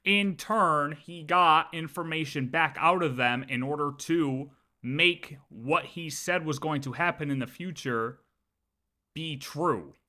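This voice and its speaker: A game streamer reacting casually on a livestream, talking in a halting, argumentative mutter. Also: medium pitch, pushed and bright.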